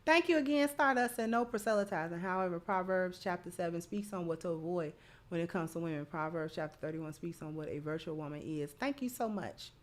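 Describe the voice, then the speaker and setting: A woman's voice: lowish voice, a little fast. A woman hosting a livestream, chatting casually and giving commentary to her supporters. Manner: effusive in thanking supporters. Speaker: livestream host.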